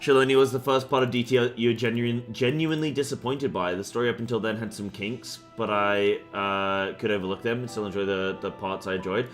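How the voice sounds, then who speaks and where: A man's voice: fairly low pitch, steady tone, brisk, hardly any pauses. A young man chatting casually with viewers on a game stream, rambling with plenty of fillers and getting fired up as he rants. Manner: rambling, many fillers, gets worked up when ranting. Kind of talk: casual live-stream chat. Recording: faint music behind.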